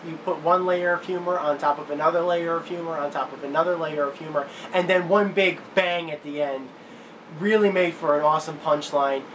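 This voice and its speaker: Casual young man with noisy room sound. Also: on the high side. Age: 20s. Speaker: video host and reviewer. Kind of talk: casual commentary to camera. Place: small indoor room with bookshelves. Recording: clear steady room noise.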